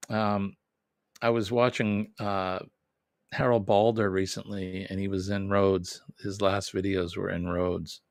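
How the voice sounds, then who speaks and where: Boston guy chatting on a live stream, slow and kinda low, rambles a bit, dry close sound. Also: flat delivery, no room sound. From New England. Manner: rambling, self-correcting, chuckles often. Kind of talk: casual live chat reading viewer comments.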